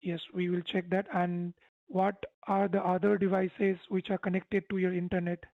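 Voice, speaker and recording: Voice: medium pitch, flat delivery, moderate pace, gravelly, dry recording, narrow, tinny audio. Dry-sounding phone support agent. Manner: instructing, repeatedly seeks agreement. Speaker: tech support phone scammer. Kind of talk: scripted-sounding persuasive phone support talk. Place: phone call, music behind.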